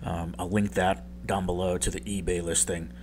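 A man with a deep, just-woken-up voice walking through a tech tutorial in a rambling, self-deprecating way, with lots of ums. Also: flat delivery, moderate pace, noisy background. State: just woke up, deep morning voice. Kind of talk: casual screen-recorded tutorial voice-over.

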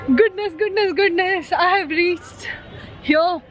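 High-pitched chatty woman vlogging. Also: drawn-out words, soft background music. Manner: chatty and upbeat, talking straight to camera. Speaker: travel vlogger. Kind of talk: casual on-camera travel vlog, Hindi-English mix. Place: inside a bus and at roadside stops. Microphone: handheld camera at arm's length.

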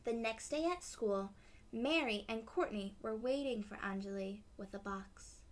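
A woman's voice: fairly high pitch, measured pace. A woman in her twenties reading a storybook aloud expressively, with asides to young children. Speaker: children's read-aloud host. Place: small decorated room indoors.

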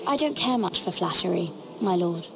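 A woman playing a reserved, quietly determined young noblewoman in a dramatic video game. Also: lowish voice, animated, normal speed, creaky, crowd noise. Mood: polite, reserved.

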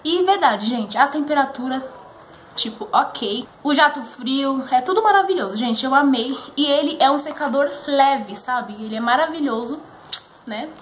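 A young Brazilian woman with a São Paulo accent speaks in a high voice. She talks casually and enthusiastically to her viewers like a beauty vlogger at home. The camera microphone picks up a faint background noise. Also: expressive, normal speed. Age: 20s. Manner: chatty, enthusiastic, addresses viewers as friends. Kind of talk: casual chatty product review to camera. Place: living room at home, indoors.

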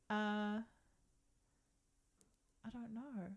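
Laid back woman cohost, soft lowish voice, giggles and trails off a lot. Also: very slow. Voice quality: lower, docile tone. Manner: relaxed, giggly, hesitant. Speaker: podcast co-host. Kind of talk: casual chat.

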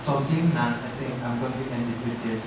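A man's voice: medium pitch, brisk. A man lecturing at the front of a conference room, answering questions and often restarting sentences as he searches for words. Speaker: guest lecturer. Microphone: far camera. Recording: echoey hall heard from far off, noisy.

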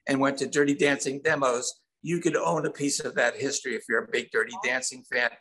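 A man's voice: medium pitch, steady tone, brisk, sharp tone, poor audio. An older man telling stories casually as a songwriter guest in an interview over a video call. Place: indoor room at home.

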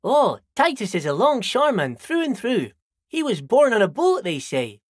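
A man's voice: very high-pitched, huge pitch swings, moderate pace, no room sound, studio quality, exaggerated voice. An actor playing a friendly, simple-minded old dockworker in a video game, folksy and rambling, repeating himself as he chats. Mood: friendly, chatty. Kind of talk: video game character dialogue.

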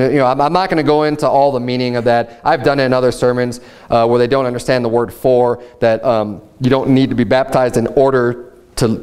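A man in his thirties preaching a Baptist sermon at a pulpit, reading scripture slowly and rising to emphatic highs as he explains it. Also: darkish tone. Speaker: pastor. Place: church room, standing at a pulpit.

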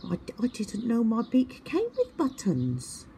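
Woman does a tiny, sweet, dim young owl for a storybook; squeaky and high, always asking questions. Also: takes it slowly, a little hiss or hum, exaggerated voice. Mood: eager. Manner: excited high-pitched questions. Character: small kind curious scatterbrained boy owl.

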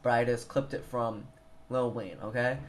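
A man's voice: medium pitch, fairly even intonation, moderate pace. Informal young man, noisy recording. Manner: informal, hesitant, thinking aloud. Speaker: tutorial presenter. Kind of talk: casual screen tutorial. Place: indoors at a computer. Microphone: handheld camera mic. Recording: noisy handheld camera recording.

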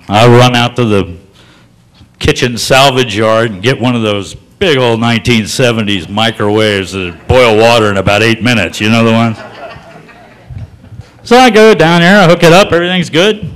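A man performing a stand-up comedy set in a comedy club, telling stories in a deadpan, folksy drawl that builds to loud punchlines. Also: medium pitch, poor audio.

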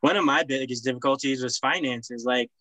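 A young native Spanish-speaking man with a bright, forceful, mid-range voice explains himself earnestly. He restarts often, and the sound is dry. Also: mid-range voice, brisk, no room sound. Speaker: panelist, business owner. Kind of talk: explanatory panel conversation. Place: indoor room. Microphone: computer on a video call.

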